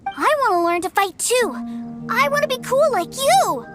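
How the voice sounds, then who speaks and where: A woman voicing an eager, stubborn little boy in a video game, an adoring younger brother with an excitable, wheedling way of talking. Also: very high-pitched, normal speed. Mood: earnest. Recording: music playing behind the voice.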